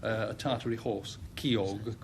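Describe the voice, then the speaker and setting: A man on a panel game giving a halting, hesitant definition. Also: fairly low pitch, steady tone, background noise.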